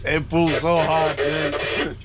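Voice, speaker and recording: Older man, excited boat fishing commentary, slow drawn-out talk, a bit high, loud engine and wind noise behind. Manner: coaching, repeats short calls. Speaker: fishing guide filming the trip. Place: open boat at sea. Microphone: action camera on board. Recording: noisy boat and sea wind.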